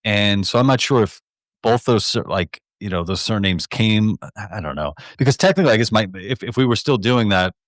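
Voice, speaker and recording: A man with a lowish voice hosts a podcast and chats in a loose, irreverent way with a joking edge. The sound is close and dry. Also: lively intonation, fairly quick, dry recording. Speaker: podcast host. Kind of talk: casual chat.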